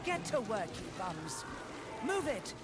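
A woman's voice: mid-range voice, very animated, takes it slowly, loud music behind the voice. A woman playing a blunt, bossy, no-nonsense mother in a video game, scolding as she talks. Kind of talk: scripted video game acting.

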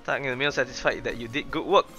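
A young man doing excitable, chatty live game commentary, exclaiming and reading dialogue aloud. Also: medium pitch, very fast, dull audio, faint music behind. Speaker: gaming streamer.

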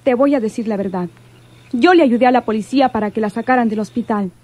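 Woman acting a high-voiced, defiant, anguished heroine. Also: quick pace, dark tone, natural voice. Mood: guarded. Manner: melodramatic, emotional. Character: defiant, anguished woman facing her sister's killer. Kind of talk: radio drama acting.

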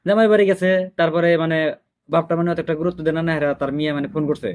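A man with a fairly high voice and a regional Bangladeshi Bengali accent reacts as a video host. He talks quickly and casually, leaning hard on his words in disbelief. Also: darkish tone.

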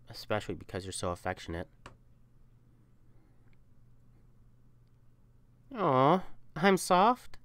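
A woman plays a protective, loving young catperson in an ASMR-style roleplay. The voice sits low and moves expressively up and down, recorded close and dry. The delivery is tender and coaxing, with emphatic reassurance for a partner. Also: dry recording. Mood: fond.

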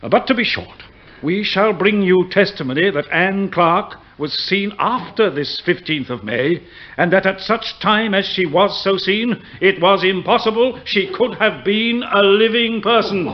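An actor playing an earnest prosecuting attorney in a period radio play, formal and measured. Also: male voice, sits high. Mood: solemn, hesitant. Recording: muffled radio drama recording.

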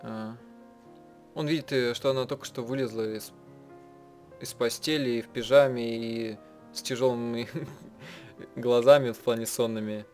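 Man, casual game read-along, halting and self-correcting, middle pitch, soft music behind. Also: steady tone. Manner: halting, often rephrasing mid-sentence. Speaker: game player translating and voicing a visual novel. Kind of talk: casual read-aloud translation with commentary. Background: game soundtrack music.

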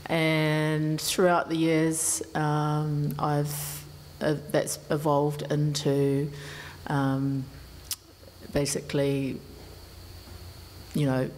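A woman with a New Zealand accent introducing herself on an art panel, soft-spoken and hesitant, with many pauses. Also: low voice, completely flat delivery, slight background noise. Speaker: panelist, performer. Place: indoor art gallery. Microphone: stand mic. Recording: reverberant gallery.

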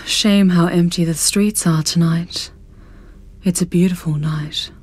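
An actress playing a composed, mysterious lady who teases coolly with unhurried, wry understatement in a horror audio drama. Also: fairly low pitch, steady tone, a little mellow, husky, no room sound. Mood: cool, teasing.

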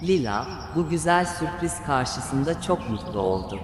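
A man's voice: fairly high pitch, animated, unhurried, noisy background. Echoey male storyteller with long reverb. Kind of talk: scripted children's fairy tale narration.

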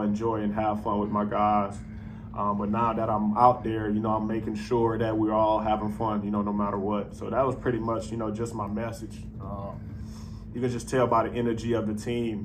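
A man's voice: lowish voice, slight background noise, poor audio. A young man in his twenties answering reporters at a postgame press conference, speaking loosely with many fillers into a table mic. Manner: relaxed, many "you know" fillers. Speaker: professional basketball player. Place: arena press room. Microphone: table mic on stand.

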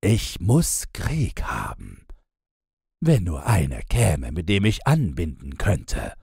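Man playing a brash, gruff young soldier. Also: low voice, huge pitch swings, slow pace, darkish tone, dry recording, clean studio sound, exaggerated voice. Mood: grumbling. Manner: gruff, boastful. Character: brash, belligerent young discharged soldier, stocky and fearless.

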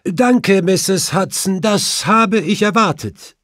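A man voicing a brisk, commanding detective with a formal, emphatic manner in an audiobook. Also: high voice, fairly bright tone, dry recording, clean studio sound, pitched above the performer's own voice. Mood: urgent, excited. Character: brisk, commanding male detective, urgent and superior.

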